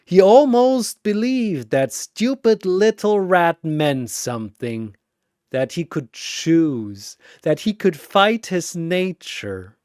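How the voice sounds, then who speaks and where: A man voicing a menacing godlike villain personality in a story, taunting with drawn-out, theatrical delivery. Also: sits high, lively intonation, darkish tone, clean tone, no echo, clean studio sound, pitched above the performer's own voice. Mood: gloating menace.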